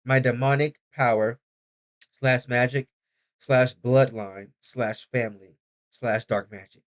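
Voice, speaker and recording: A young man rambling through a casual video diary, chatty and often interrupting himself. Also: medium pitch. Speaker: vlogger.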